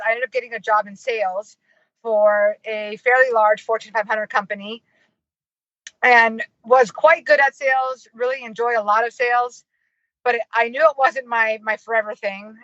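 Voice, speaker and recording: A woman's voice: on the high side, pushed and bright, no room sound. A woman from the US, a marketing consultant and professor, telling stories in a chatty, giggly way with many fillers over dull, lo-fi remote call audio. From United States. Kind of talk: casual interview storytelling.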